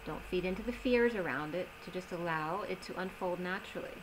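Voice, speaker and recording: A woman giving a tarot reading in a slow, soothing manner, slightly strained as she is losing her voice. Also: on the low side, noisy background. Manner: slow, soothing reading of oracle cards with gentle pauses. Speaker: tarot reader. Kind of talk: solo tarot reading video.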